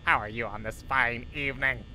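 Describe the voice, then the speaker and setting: A man's voice: mid-range voice, steady tone, brisk, sharp tone, a little hiss or hum, rough recording. A man voicing a cartoonish, creepy schoolteacher who talks theatrically to himself while commentating on a horror video game. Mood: cheerful. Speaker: gaming video host.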